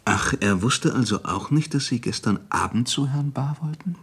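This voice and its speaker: A man's voice: medium pitch, lively intonation, normal speed, creaky, fairly bright tone, husky, full character voice. A man playing a businesslike, brusque police inspector in a radio play, questioning in a clipped manner. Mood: probing. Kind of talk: German radio play acting, interrogation dialogue.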